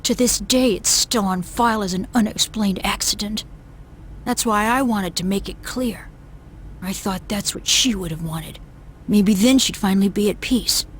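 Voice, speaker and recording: A woman's voice: medium pitch, slight background noise, lightly acted. Solemn, grieving young boy voiced by a woman. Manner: earnest, solemn, measured. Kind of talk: dramatic video game voice acting. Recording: loud music behind the voice. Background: music.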